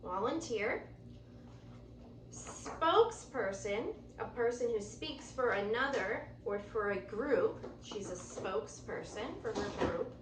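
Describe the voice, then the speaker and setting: Animated woman reading aloud in a roomy space. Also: on the high side, slow. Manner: instructive, explains and points things out. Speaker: teacher reading a picture book aloud. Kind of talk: expressive children's read-aloud with word explanations. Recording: roomy echo, distant mic, faint noise.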